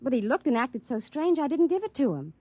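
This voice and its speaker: High, lilting dim young secretary. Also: female voice, very animated, a little fast, dark tone, no room sound, dull audio. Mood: chatty, wondering. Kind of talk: 1950s radio comedy-drama acting.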